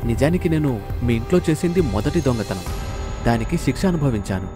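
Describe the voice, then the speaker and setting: A man's voice: medium pitch, animated, fairly quick, music in the background, lightly acted. A man playing a remorseful thief in a storybook tale, earnestly and apologetically explaining himself. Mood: apologetic. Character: remorseful, earnest male thief telling his hard story.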